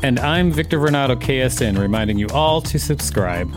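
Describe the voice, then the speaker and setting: A man's voice: mid-range voice, animated, brisk, over music. A man podcast co-host reading an encyclopedia article aloud and adding commentary.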